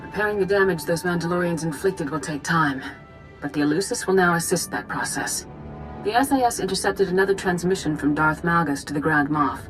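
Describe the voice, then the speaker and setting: An actress playing an authoritative Jedi Master commander in a video game, calm, formal and measured. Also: female voice, fairly low pitch, faint music behind, lightly acted, poor audio. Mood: calm, caring.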